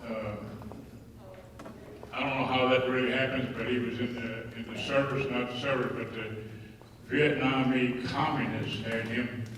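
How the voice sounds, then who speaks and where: A man's voice: medium pitch, steady tone. Man making announcements in an echoey hall. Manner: folksy, halting, with many fillers. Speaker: luncheon emcee. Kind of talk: casual announcements to an audience. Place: large indoor hall. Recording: echoey hall, distant mic, faint noise.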